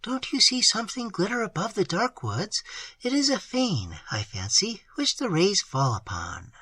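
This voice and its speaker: A reader voicing a shy, gentle young woman who speaks softly and politely. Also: mid-range voice, very animated, normal speed, breathy edge, voice pushed up, heavily acted. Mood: timid. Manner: soft, timid, polite. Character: timid young lady, gentle and curious. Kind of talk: audiobook character reading.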